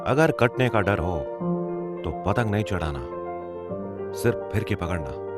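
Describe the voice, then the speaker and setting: Male actor as Bollywood-style animated video character, lowish voice, rapid-fire delivery, loud music behind. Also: lightly acted. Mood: punchy. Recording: loud music, played back over call.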